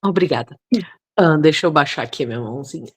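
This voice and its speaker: A woman's voice: low-pitched, quick pace, breathy edge. A Brazilian woman giving a reflective spoken contribution in a spiritual group discussion, often adding tag questions. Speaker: study group participant.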